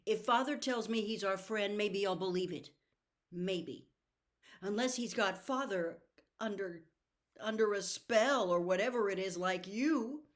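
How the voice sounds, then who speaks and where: A woman's voice: mid-range voice, unhurried, natural voice. A woman voicing a stubborn, frightened adolescent girl in an audiobook, with a defiant and emotional delivery. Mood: defiant, angry. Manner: defiant, emotional, loud outbursts. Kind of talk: audiobook character voice.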